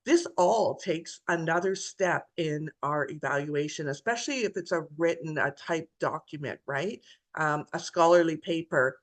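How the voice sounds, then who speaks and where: A woman's voice: low voice, normal speed. A woman nursing educator speaking conversationally on a video-call webinar panel, thinking things through and adding "right?" tags. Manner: conversational, reflective, tag questions.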